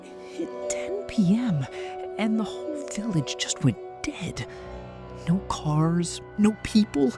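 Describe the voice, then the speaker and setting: A soft-spoken, weary woman narrating a first-person horror story. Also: medium pitch, very animated, takes it slowly, over loud music.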